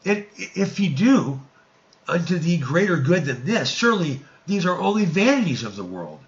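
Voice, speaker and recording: A man voicing an earnest, urgent biblical patriarch in a scripture reading, slow, emphatic and declamatory. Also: high-pitched, very animated, lo-fi sound, pitched above the performer's own voice. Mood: urgent pleading. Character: earnest, urgent biblical patriarch Abram pleading with his father.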